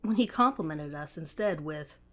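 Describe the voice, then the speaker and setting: A woman's voice: deep voice, animated, moderate pace. A woman reading a scripted first-person story as a narrator.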